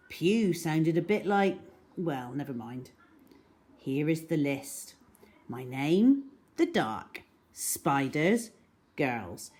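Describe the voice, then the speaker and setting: A woman storyteller reading a children's story aloud in an expressive, dramatic way. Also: low-pitched. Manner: dramatic, animated.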